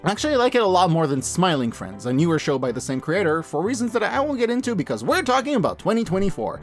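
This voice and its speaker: Male reviewer, very lively intonation, punchy casual enthusiasm, scripted commentary over background music. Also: high voice, fast. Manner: enthusiastic, emphatic, casual and profane. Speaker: host of a media review channel.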